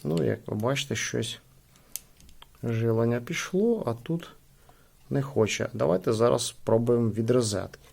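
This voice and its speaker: Creaky-voiced man doing a casual gadget review. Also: mid-range voice, normal speed, a little mellow. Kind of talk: casual hands-on product review.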